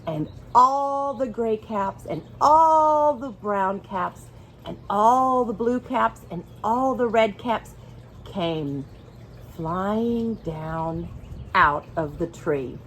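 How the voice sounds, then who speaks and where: A woman reading a picture book aloud to young children in an expressive way, engaging her listeners as she goes. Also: medium pitch, a little hiss or hum, rough recording. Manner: expressive, pauses to ask listeners questions. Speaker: children's storybook reader.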